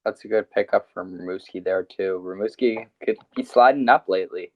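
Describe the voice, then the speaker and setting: A man's voice: on the low side, fairly quick, dry recording. A young man co-hosting a casual sports podcast, laughing often and hesitating with many fillers. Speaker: podcast co-host. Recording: dull, dry podcast audio.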